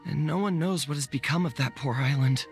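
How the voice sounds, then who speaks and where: A man's voice: a little high, brisk, creaky. An actor playing an earnest, unsure young man in a video game, respectful and deferential to his monarch. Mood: alarmed, grave. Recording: music under the voice.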